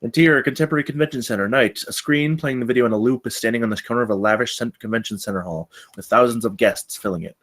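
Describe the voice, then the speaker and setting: A man's voice: mid-range voice, fast talker, few pauses, a bit bright. A man narrating stage directions from a screenplay at a table read.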